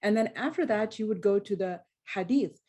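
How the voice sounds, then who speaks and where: A woman's voice: mid-range voice, animated, normal speed, warm tone, husky. A woman scholar giving an explanatory academic talk on a panel, often restarting her phrases. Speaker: scholar of Islamic studies, panelist.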